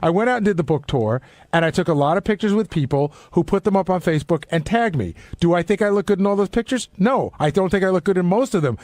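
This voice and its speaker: Middle-aged American male radio regular, rapid delivery, high voice, casual debate. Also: expressive, a little mellow, dry recording. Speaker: radio show cast member.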